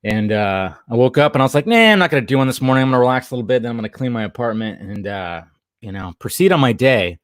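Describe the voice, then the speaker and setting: Hoarse, chatty man live streaming from home. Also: mid-range voice, animated, fast, no room sound, clean studio sound. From Southern California. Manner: rambling, chatty, reads chat aloud, laughs often. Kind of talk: casual live stream chat. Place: at home in his apartment.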